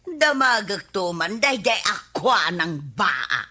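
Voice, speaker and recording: A woman's voice: mid-range voice, very animated, takes it slowly, sharp tone, slightly rough, exaggerated voice. A woman with an Ilocano Filipino accent playing a nagging, practical village wife in a radio comedy drama. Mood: questioning.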